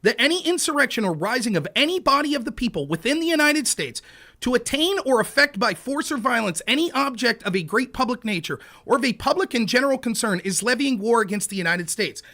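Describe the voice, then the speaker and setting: High, bright-voiced man debating hard. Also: expressive. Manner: argumentative, reads legal text aloud deliberately. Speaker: show host. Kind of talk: heated online debate.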